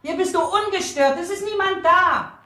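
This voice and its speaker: Radio drama: stern, bossy pub landlady and mother, high-pitched, roomy echo. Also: normal speed, bright, forceful tone. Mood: scolding. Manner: bossy, impatient. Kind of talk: German radio drama acting.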